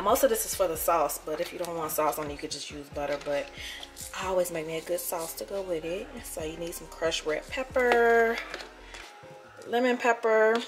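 A young woman giving relaxed, chatty cooking instructions in her home kitchen, filmed on a camera mic. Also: fairly low pitch. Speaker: cooking vlogger. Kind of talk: casual cooking walkthrough. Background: background music.

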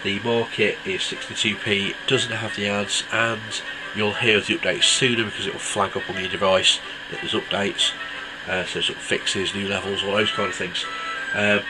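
A man's voice: fairly low pitch, normal speed, few pauses, very noisy. A man with a stuffy cold, casually reviewing a mobile game with lots of ums. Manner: relaxed, rambling with many ums. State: congested from a cold. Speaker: app reviewer. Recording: loud game music behind.

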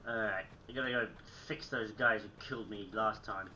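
A man's voice: lowish voice, fairly even intonation, normal speed, dull audio, noisy background. A young man with a slightly phlegmy, sick-sounding voice, chatting casually over his gameplay from a small room at home. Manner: chatty, self-mocking, frequent throat clearing. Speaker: gaming channel host.

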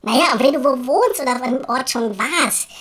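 Actor as blue fairy: very high, cheeky, colloquial, groans at chores; noisy recording. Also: brisk, a bit bright. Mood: explaining. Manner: colloquial, teasing, groaning and sighing. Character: cheeky centuries-old blue fairy, chatty and bossy. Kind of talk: comedic audio play dialogue.

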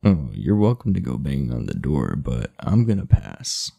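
A man playing an aloof, irritable, sleepy teenage delinquent in an ASMR roleplay, blunt, sardonic and guarded, with a low, gravelly voice. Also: wildly swooping pitch, moderate pace, warm tone, no room sound, studio quality, heavily acted. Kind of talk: ASMR roleplay, speaking to the listener.

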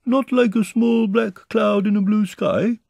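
A man's voice: on the high side, sing-song, measured pace, slightly rough, no echo, dull audio, voice pushed up, heavily acted. A man voicing an earnest, scheming, slightly anxious toy bear in a children's storybook, with a ponderous, solemn manner. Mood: anxious, calling out. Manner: ponderous, solemn, calling out loudly. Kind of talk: children's storybook character reading.

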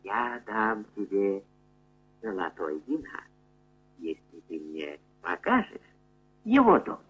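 A man plays a crafty cartoon robber pretending to be a meek blind beggar. The voice is wheedling and soft, sliding widely in pitch, on a muffled old film soundtrack. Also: huge pitch swings, slow, husky, heavily acted. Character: cunning male robber posing as humble blind man.

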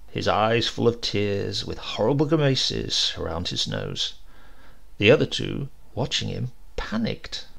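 A man reading a comic short story aloud as an expressive audiobook narrator. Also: mid-range voice, vocal fry.

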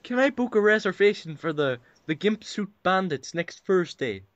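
A man with a high voice and a Derry accent. He speaks casually in short replies as a prank caller, with a dry, somewhat muffled lo-fi sound. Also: sing-song, moderate pace, fairly bright tone, no echo. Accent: Northern Irish, Derry. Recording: dull lo-fi phone call sound.

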